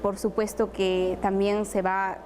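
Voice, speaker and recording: A woman's voice: medium pitch, flat delivery, measured pace, a little mellow. A woman presenter from Apurímac, Peru, whose first language is Quechua, telling her own story earnestly in an interview. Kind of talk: personal interview testimony.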